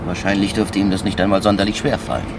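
A man's voice: sits low, fairly even intonation, quick pace, gravelly, over music. A male actor playing a shrewd, persistent police inspector in a radio crime drama, insinuating and mock-deferential as he argues step by step. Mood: pressing, reasoning. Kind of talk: naturalistic German radio crime drama acting.

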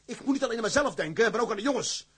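Blustering, husky tugboat skipper. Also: male voice, very high voice, expressive, very fast, a bit bright, heavily acted. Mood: defiant. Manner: blustering, cursing, emphatic. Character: hot-headed, stubborn Dutch tugboat skipper, proud and impatient. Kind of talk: radio drama acting.

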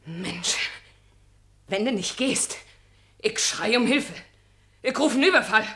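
A woman's voice: on the high side, animated, takes it slowly, fairly bright tone, echoey room. An actress playing a frightened, indignant working-class married woman in a radio play, scolding. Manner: agitated, emphatic, scolding. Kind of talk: German radio play acting.

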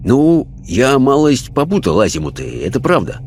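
A man voicing a rough, irreverent dead sailor in an audiobook, with coarse, colloquial speech. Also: mid-range voice, big pitch swings, normal speed, a little mellow, soft background music, voice pushed up. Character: rough, cheeky, slangy dead sailor, male.

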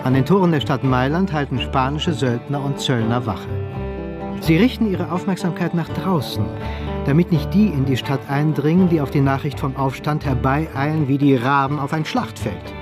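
A male narrator reads scripted story passages for a radio drama. His voice is of average pitch. Music plays clearly behind him.

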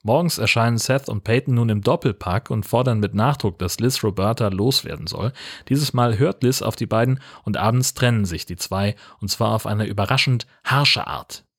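A man's voice: fairly low pitch, moderate pace, fairly bright tone, husky. A man hosting a podcast, narrating a scripted comic film recap in a wry, colloquial way. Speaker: podcast host.

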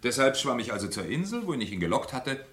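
A man's voice: medium pitch, very animated, quick pace, fairly bright tone, roomy sound. An actor playing an urbane male murder suspect who stays unruffled and evasive, with polite irony and mock disbelief, in a German radio crime drama. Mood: sarcastic, unruffled. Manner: polite, ironic, mock-incredulous.

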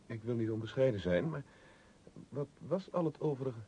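An actor playing a polite, hesitant young architect, a guarded traveller in a radio drama. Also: male voice, expressive, normal speed, mellow, slightly rough. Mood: apologetic, curious. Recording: dull old radio recording, rumbling noise.

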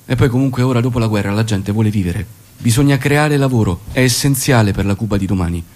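An actor playing an earnest, reserved guerrilla leader turned minister, speaking in a measured, didactic stage manner with music behind him. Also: male voice, on the low side, a little mellow, lightly acted. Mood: earnest, businesslike. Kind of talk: Italian stage drama acting.

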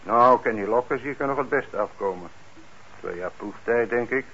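An actor playing a calm official in a radio drama, explaining things in a measured, conversational way. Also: male voice, mid-range voice, husky. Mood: matter-of-fact. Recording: dull, noisy old radio recording.

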